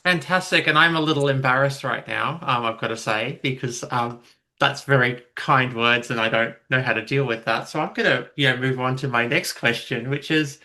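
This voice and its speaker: A man's voice: mid-range voice, moderate pace, sharp tone. A man hosting a podcast, chatty and a bit self-deprecating as he puts a question to a guest. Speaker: podcast host. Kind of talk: casual podcast interview.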